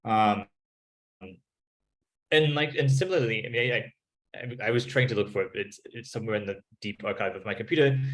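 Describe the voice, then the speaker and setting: A man's voice: medium pitch, rough recording. A man speaking as a panelist in an academic discussion, searching for words with many restarts, on a video call.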